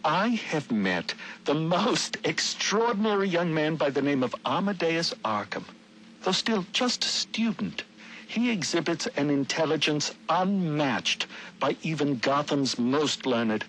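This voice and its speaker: A man's voice: on the high side, sing-song, measured pace, fairly bright tone, a little hiss or hum, low-quality recording. An actor playing an idealistic, haunted nineteenth-century architect confiding in his journal with formal, earnest, old-fashioned diction in a game audio log. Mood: earnest, hopeful. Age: 30s. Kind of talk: dramatic audio journal narration.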